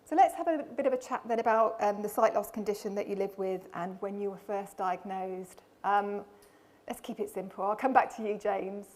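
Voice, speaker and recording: A woman's voice: medium pitch, normal speed, darkish tone, a little hiss or hum. A woman hosting a conference panel in a room full of people, welcoming the audience and joking lightly to put her panel at ease. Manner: friendly, reassuring, lightly joking. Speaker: panel host, charity deputy chief executive.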